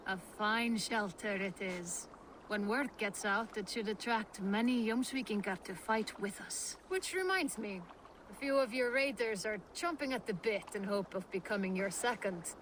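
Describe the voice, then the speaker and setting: A hearty, eager Viking woman acted out by an actress for a video game. Her voice sits at a middle pitch and carries pride, with faint noise behind it. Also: measured pace, fairly bright tone, close to an everyday voice. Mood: proud, eager.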